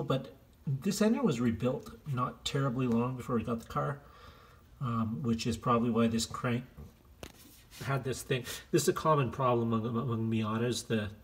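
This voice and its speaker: A man's voice: medium pitch, a little mellow. A man with a scratchy voice, casually walking through a car repair in his garage, rambling with plenty of fillers as he handles the parts. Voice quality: scratchy voice from smoke. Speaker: home mechanic vlogger. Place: indoor garage workshop. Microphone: phone camera held while filming.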